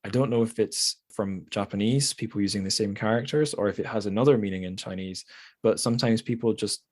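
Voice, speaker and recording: A man's voice: on the low side, fairly quick, a little mellow. A man hosting a podcast, chatting casually and informally with a tentative, wry manner. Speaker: podcast host. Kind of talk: casual book podcast chat.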